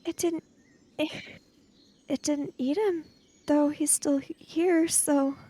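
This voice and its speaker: Woman playing high-voiced, stammering nervous young girl. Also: fairly even intonation, takes it slowly, dark tone, a little hiss or hum. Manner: stammering, unsure. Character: frightened, hesitant kid named Lizzie, girl.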